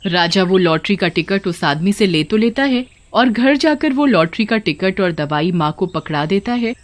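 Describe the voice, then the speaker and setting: A woman's voice: medium pitch, expressive, normal speed. A woman narrating a scripted moral story.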